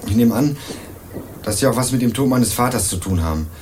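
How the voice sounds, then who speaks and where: An actor playing an earnest young male student investigator in a radio crime drama, narrating and questioning in a measured, dry way. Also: mid-range voice, animated, darkish tone, slight background noise, lightly acted. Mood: earnest, probing. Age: young adult. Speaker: narrator and lead character.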